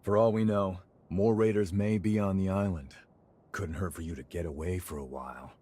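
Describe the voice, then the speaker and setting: A man's voice: low-pitched, fairly even intonation, normal speed, mellow. A man playing an easygoing, wry fighter in a video game, with casual, teasing, clipped phrasing. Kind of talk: video game cutscene acting.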